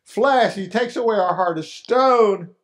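A man's voice: high-pitched, measured pace. An older man preaching a sermon in a small chapel, repeating key phrases and rising to emphatic questions. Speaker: pastor. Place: small chapel hall, indoors.

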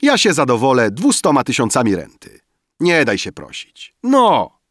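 Audiobook young male friend, acted by a man: cajoling, emphatic, demanding, lively swoops at a raised pitch. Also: fairly quick, no echo, clean studio sound. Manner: emphatic, speechifying, insistent. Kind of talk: dramatized audiobook dialogue.

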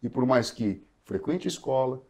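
A man in his forties hosting an interview, asking quick questions and building on his guest's points, on a lapel mic in a small studio. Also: mid-range voice, expressive, darkish tone. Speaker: host, doctor. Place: small studio, seated on a sofa.

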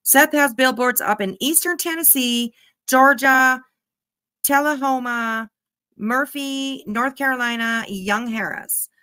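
A woman's voice: high voice, slow pace, bright, forceful tone, clear, resonant tone, no echo. A woman giving casual, snarky commentary on a clip, mocking and swearing freely. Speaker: reaction channel commentator.

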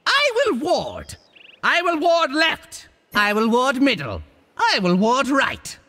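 A man's voice: very high-pitched, huge pitch swings, slow, sharp tone, heavily acted. A man voicing a boastful, theatrical cartoon monster villain for a video game, with a hammy, swooping delivery. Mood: commanding, urgent.

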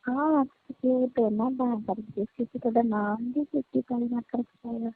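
A woman's voice: on the high side, fairly even intonation, normal speed, no echo. A young adult woman, chatting casually and privately on the telephone. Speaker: niece on a phone call. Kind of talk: casual private phone chat. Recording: tinny, band-limited phone call recording.

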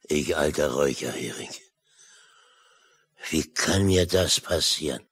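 An actor playing a blustering, excitable seafaring uncle in a German children's radio play, with exclamatory sailor talk. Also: male voice, extremely low pitch, slow, breathy edge, heavily acted. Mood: grumbling.

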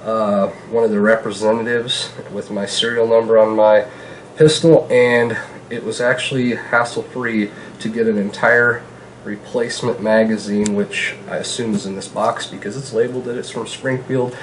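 A man's voice: lowish voice, moderate pace, a little hiss or hum, rough recording. Stuffy-nosed man chatting at his kitchen table. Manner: relaxed, chatty, many fillers. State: stuffy nose from a cold. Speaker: gun channel host. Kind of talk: casual product vlog. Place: home kitchen, indoors.

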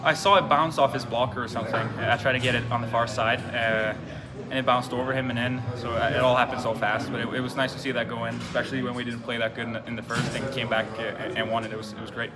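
A young man, a hockey player, answering postgame press questions in a relaxed, halting way, repeating words and restarting often. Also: mid-range voice. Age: young adult. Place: indoor press room before a sponsor backdrop. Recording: loud music playing behind. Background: loud music.

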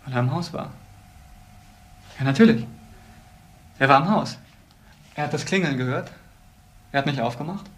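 A man's voice: medium pitch, moderate pace, pauses often, a bit bright, natural voice. A male actor playing an insistent, accusing man in a crime radio play. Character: insistent, accusing man in a wheelchair, brother of suspect. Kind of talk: radio crime drama acting.

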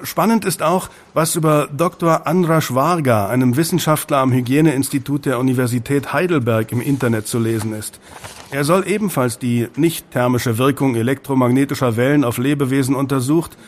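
An actor playing a slick, wry tabloid newspaper reporter in an audio drama, chatty and keen to explain. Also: male voice, mid-range voice, brisk, natural voice. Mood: informative.

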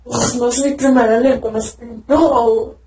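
A woman's voice: fairly high pitch, fairly even intonation, normal speed, warm tone. Groaning woman on an echoey video call. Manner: groaning, moaning and chanting repeated syllables. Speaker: person receiving deliverance prayer. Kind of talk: speaking in a trance-like state during deliverance. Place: indoor room at home. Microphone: webcam on a video call. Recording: echoey video call audio with faint noise.

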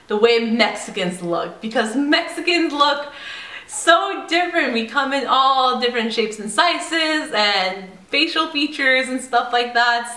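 A young woman vlogging at home, talking straight to the camera in an animated, chatty way. Her voice sits a little high and comes out bright and sharp, with the room echoing around her. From San Diego, United States. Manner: animated and chatty, laughing often. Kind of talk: casual talk to camera. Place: indoor home room by a staircase.

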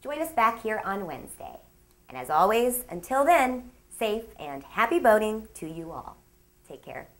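A woman's voice: mid-range voice, very animated, moderate pace. A woman hosting a boating magazine show, reading a scripted narration in an upbeat way with playful asides. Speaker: show host.

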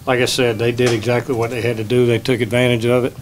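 An older man, a baseball head coach, answering questions at a postgame press conference in a plain, measured way at a table microphone. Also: mid-range voice, flat delivery, a little hiss or hum. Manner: measured, plain-spoken, many restarts. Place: press room. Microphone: table mic.

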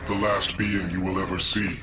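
An actor playing a cold, emotionless cyborg villain in a video game, speaking in formal, clipped, precise phrases. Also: male voice, low-pitched, flat delivery, moderate pace, lightly acted. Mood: cold, menacing. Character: cold emotionless evil cyborg seeking human feeling. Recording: game music behind.

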